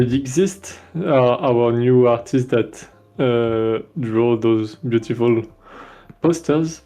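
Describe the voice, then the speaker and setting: A man's voice: medium pitch, warm tone, low-quality recording. A man with a French accent, a game developer chatting casually on a stream, hesitating often with many fillers and restarts. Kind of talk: casual dev stream chat.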